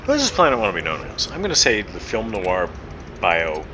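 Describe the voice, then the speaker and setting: A man with a lowish voice talks his way through a game session in a loose, rambling way, stopping and restarting his sentences. Loud game music plays the whole time behind his voice. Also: brisk. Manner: rambling, relaxed, trailing off mid-thought. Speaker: game streamer. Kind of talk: casual gameplay commentary.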